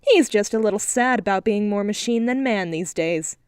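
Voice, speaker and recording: A woman voicing a sharp-tongued young flapper, blunt and brash. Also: medium pitch, moderate pace, smooth, no room sound, natural voice.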